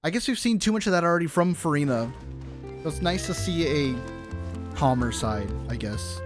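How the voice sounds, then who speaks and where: A man's voice: fairly high pitch, animated, moderate pace. A man livestreaming a game, chatting informally and rambling to his chat in slangy commentary. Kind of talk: casual live commentary to chat. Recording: game music behind the voice. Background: video game music.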